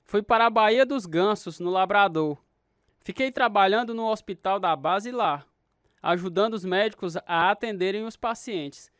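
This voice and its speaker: A man's voice: sits high, normal speed, fairly bright tone, smooth. A man acting as a troubled, restless narrator telling his life story in a radio drama, with a Brazilian accent. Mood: reflective.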